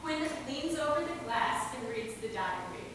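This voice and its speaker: A woman's voice: fairly high pitch, lively intonation, normal speed. A woman narrating scripted stage directions at a staged reading. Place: theater hall, mic at a distance. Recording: echoey hall, distant, noisy.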